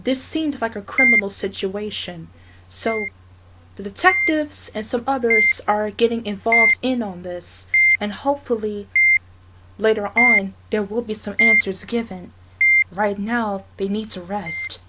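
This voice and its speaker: A woman playing a calm, polite hospital staffer in an audio roleplay, speaking in a measured, hesitant way. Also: medium pitch, heavy background noise, close to an everyday voice. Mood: grave, measured. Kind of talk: dramatic ASMR roleplay acting.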